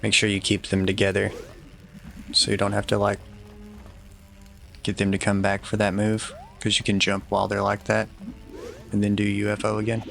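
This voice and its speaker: Young guy with a low gravelly voice, flat delivery, chill walkthrough tips, faint music behind. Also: normal speed, long pauses. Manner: easygoing, instructive, slightly self-deprecating. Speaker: gameplay guide commentator. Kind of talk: relaxed walkthrough commentary.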